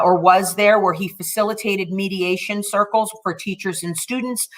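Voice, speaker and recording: A woman's voice: medium pitch, sharp tone. A woman in her forties moderating a panel over a video call, introducing guests in an effusive, encouraging way. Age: 40s.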